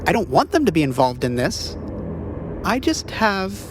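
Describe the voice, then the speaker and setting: Audio drama government agent acted, dry wit, very expressive intonation, faint noise behind the voice. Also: mid-range voice, moderate pace, lightly acted. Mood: guarded. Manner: dry, deadpan teasing. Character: dry, capable government agent, teasing detective partner.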